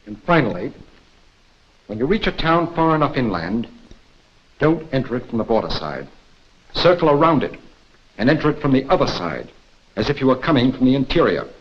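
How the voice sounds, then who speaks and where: An American actor playing a composed intelligence instructor in a 1940s training film, speaking in a measured, instructive way. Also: male voice, mid-range voice, sing-song, slightly rough. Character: composed male intelligence instructor briefing an agent. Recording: dull, muffled 1940s film soundtrack.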